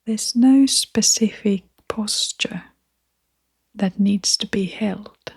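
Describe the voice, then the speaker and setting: A woman guiding a meditation in a soft, half-whispered voice, gentle and soothing, leaving long pauses between phrases. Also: mid-range voice, creaky, slightly rough. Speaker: meditation guide. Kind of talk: guided meditation narration.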